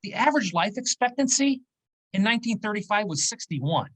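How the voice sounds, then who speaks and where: A man's voice: high-pitched, big pitch swings, a little fast, fairly bright tone, slightly rough. A man in his fifties, a business owner speaking as a guest in an interview, explaining in a conversational way and checking in with "okay?" and "right?". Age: 50s.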